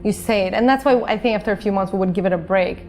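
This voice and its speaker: A woman from Azerbaijan whose first language is Russian, talking casually and earnestly as an interview guest, telling stories in an informal, expressive way. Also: medium pitch, racing. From Azerbaijan, former Soviet Union. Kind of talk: casual conversational storytelling. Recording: music behind the voice. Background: background music.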